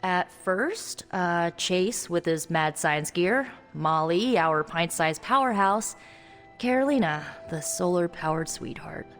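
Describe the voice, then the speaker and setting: A young spellcasting heroine from a video game, speaks in a slightly low voice. She is wry and guarded, with halting asides, and her words ring with long reverb. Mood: fond, then guarded. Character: young woman blood-magic spellcaster, wry, guarded ex-runaway hero. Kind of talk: video game character dialogue, conversational. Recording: long reverb, music underneath.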